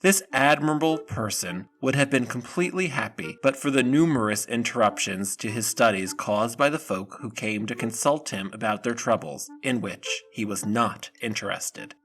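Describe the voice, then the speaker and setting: A man delivers scripted storybook narration for an audio drama. His voice is medium in pitch. Faint music sits behind him. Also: fairly bright tone.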